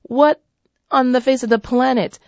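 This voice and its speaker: A woman hosting a radio talk show in a husky voice, chatty and playful, talking straight to her listeners. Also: sits high, fairly even intonation, moderate pace, dry recording, lo-fi sound. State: husky voice from a seasonal cold. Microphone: studio microphone.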